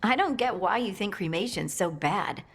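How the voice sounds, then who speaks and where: A woman's voice: medium pitch, lively intonation, normal speed, husky. An actress playing an informal, teasing, inquisitive young woman in a video game. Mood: questioning. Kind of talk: video game character acting.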